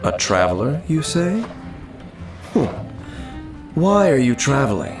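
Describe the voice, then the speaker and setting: A brooding young wanderer speaks slowly and guardedly, aloof and terse. It is game acting with an echoey sound and music underneath. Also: male voice, medium pitch, big pitch swings. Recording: echoey, distant, music behind.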